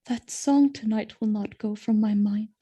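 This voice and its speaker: A woman playing a loyal, innocent, distressed noble wife in a Shakespearean audio drama, speaking in an earnest, pleading, measured way. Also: medium pitch, mellow, breathy edge, muffled recording. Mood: sad resignation.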